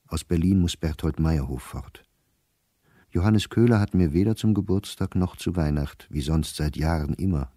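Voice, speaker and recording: A gloomy, weary middle-aged male diarist in a radio drama. The voice is very deep, dark and soft-edged, somewhat husky, with a sober, resigned, measured delivery. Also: no room sound, clean studio sound. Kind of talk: radio drama diary reading.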